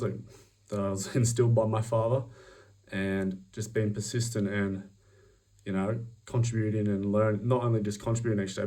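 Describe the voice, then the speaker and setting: A young Australian man pitching himself for a job in a fairly low voice, picked up from some distance away from the mic. He sounds upbeat and self-promoting, stumbling through frequent ums and restarts as he works from his prompts. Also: warm tone. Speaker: job applicant.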